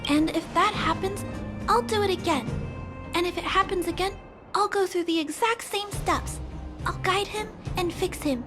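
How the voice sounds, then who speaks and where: An actress playing an earnest, kind-hearted young girl who talks softly and sincerely, sometimes hesitating, in a game cutscene. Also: very high-pitched, normal speed. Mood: determined. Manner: soft, sincere, a little hesitant. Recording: studio dub with music underneath.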